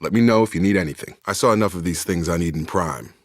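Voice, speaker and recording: Steady, plainspoken male soldier, dry close recording. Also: low voice, fast, no room sound. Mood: steady, serious. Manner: clipped, matter-of-fact military phrasing. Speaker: video game squad member. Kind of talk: scripted video game dialogue.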